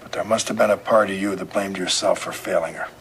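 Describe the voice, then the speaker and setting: Man playing a low-voiced, relentless police detective. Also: steady tone, quick pace, fairly bright tone. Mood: probing, pressing. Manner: terse, pointed, methodical questioning. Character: male police detective, calm, relentless, pressing interrogator. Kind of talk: audio drama acting. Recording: clear background noise and ambience.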